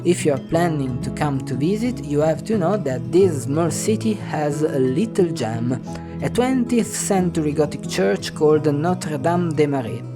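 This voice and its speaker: A man's voice: a little high, expressive, a little slow. A man with an Italian accent, a native Italian speaker from northern Italy, narrating his travel vlog in a wry, chatty way. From Piedmont, northern Italy. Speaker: travel vlogger. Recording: music underneath.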